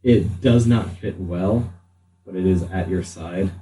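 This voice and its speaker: A man speaking as game master, improvising narration for a tabletop roleplaying game with halting pauses mid-sentence. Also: low-pitched, mellow. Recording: roomy, distant mic with faint noise.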